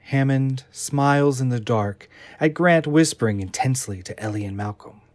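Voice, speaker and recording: A man's voice: mid-range voice, normal speed, a little mellow, dry recording. A man narrating an audio drama, reading scene descriptions from a screenplay in a scripted style.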